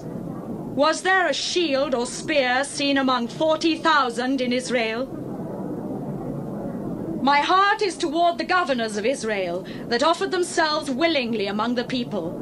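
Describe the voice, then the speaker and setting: A woman playing an exultant biblical prophetess in a dramatized scripture reading, declaiming solemnly. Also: sits high. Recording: clear background ambient noise.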